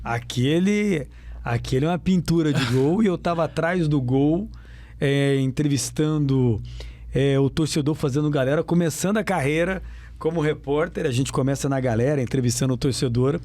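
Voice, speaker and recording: A man's voice: on the high side, normal speed, a little mellow, no echo. A man interviewing a young footballer, asking emphatic questions and repeating them for effect. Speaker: sports radio interviewer.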